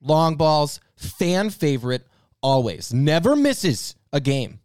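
A man hosting a podcast in casual, joking banter, talking emphatically with drawn-out stresses. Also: on the high side, big pitch swings, darkish tone, clean tone, no room sound, studio quality. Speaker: podcast host. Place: podcast recording space.

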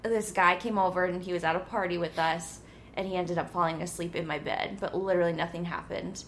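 Bubbly twenties woman hosting a podcast chat; teasing, loud on a close mic, faint background hiss. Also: on the low side, fairly even intonation, a little fast. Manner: bubbly, giggly, teasing banter. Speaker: podcast host.

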